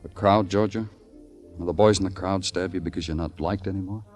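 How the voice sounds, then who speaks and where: An actor playing a world-weary police detective, narrating in a brooding, hard-boiled 1950s radio noir style. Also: male voice, sits low, steady tone, a little fast, lightly acted. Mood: quiet, probing. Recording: old radio recording with loud music.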